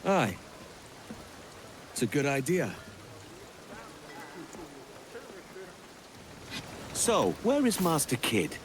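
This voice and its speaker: An actor playing a young pirate captain in a video game, wry, roguish and swaggering. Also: male voice, on the high side, wildly swooping pitch, takes it slowly, full character voice. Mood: wry, businesslike. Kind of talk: video game cinematic acting.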